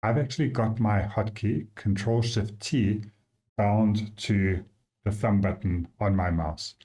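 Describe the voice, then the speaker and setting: A man with a South African accent, the app's developer, casually walking through his software and thinking aloud with frequent fillers. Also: on the low side, slow pace. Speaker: app developer giving a demo.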